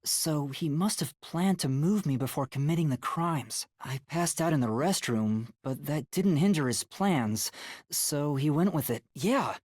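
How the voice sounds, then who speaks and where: Young male video game detective, earnest, anxious, hesitant, animated pitch swings, slightly raspy, dry close studio sound. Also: unhurried, no room sound. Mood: earnest, deducing. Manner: earnest, hesitant, thinking aloud. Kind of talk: English video game voice acting.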